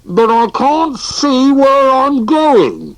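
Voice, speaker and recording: Man doing a damp storybook teddy bear, high-pitched and whiny. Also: sing-song, takes it slowly, vocal fry, heavily acted, poor audio. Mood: plaintive. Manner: whiny, plaintive. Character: damp, cold, plaintive male teddy bear.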